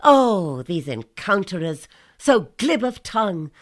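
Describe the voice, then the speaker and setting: A woman's voice: mid-range voice, sing-song, slow pace. A woman playing a sly, scornful Greek strategist, declaiming with bite in a Shakespearean audio drama.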